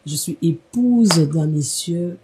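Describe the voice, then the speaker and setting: A woman's voice: fairly low pitch, animated, slow, mellow, a little hiss or hum, rough recording. A woman from the Democratic Republic of the Congo, a gospel singer, speaking earnestly and reflectively in an interview. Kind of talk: interview conversation.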